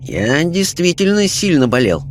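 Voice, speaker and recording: A man voicing a pompous, pious gentleman ghost in an audiobook, speaking formally with offended haughtiness. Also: a little high, very animated, measured pace, slight background noise, voice pushed up. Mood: offended, preachy.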